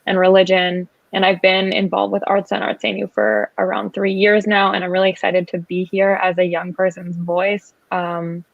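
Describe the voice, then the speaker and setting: A woman's voice: mid-range voice, fairly even intonation, moderate pace, gravelly, few pauses, no room sound, phone-quality sound. A young woman from the US, a student panelist, introducing herself hesitantly with frequent fillers. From United States. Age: young adult.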